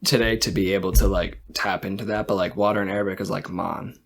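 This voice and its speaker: Young man, light Boston accent, lowish voice, tired, casual podcast chat, filler-heavy. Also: fairly even intonation, fast, gravelly. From Massachusetts. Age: young adult. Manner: loose, many "like" fillers, swears freely. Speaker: podcast co-host.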